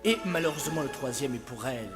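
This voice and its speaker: A man voicing a smooth-talking young male schemer in an animated film fandub, often hesitating as he improvises his pitch. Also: fast, natural voice. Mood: persuasive. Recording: long hall reverb under loud film music.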